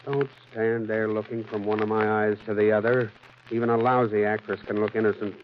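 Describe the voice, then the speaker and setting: An American actor playing a heavyset, gruff, suspicious private detective in a terse, hard-boiled 1940s radio drama style. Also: male voice, lowish voice, little pitch movement, a little fast, lightly acted. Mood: hard, accusing. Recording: muffled, noisy vintage radio recording.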